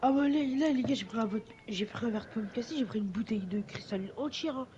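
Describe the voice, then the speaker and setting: Excitable slangy woman, muffled noisy audio. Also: on the high side, quick pace. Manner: excitable, slangy, addresses the group loudly. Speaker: vlogger talking with friends. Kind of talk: casual chat among friends.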